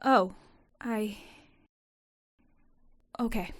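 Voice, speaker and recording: A woman playing an entitled, dramatic young schemer in an audio drama, speaking in a deliberate, theatrical way. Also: medium pitch, no echo, studio quality. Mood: subdued.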